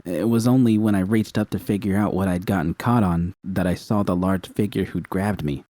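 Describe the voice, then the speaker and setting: A man reading a first-person fan fiction story aloud in a calm, steady way. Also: deep voice, normal speed, no echo, studio quality.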